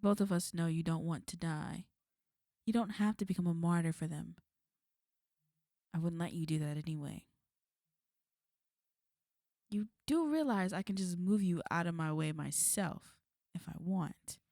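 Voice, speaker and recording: Woman acting a smug, mellow-voiced female villain. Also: fairly low pitch, dry recording, studio quality. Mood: firm, coaxing. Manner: taunting, coolly threatening, unhurried. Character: smug, menacing female villain, fond of an enemy. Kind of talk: ASMR roleplay.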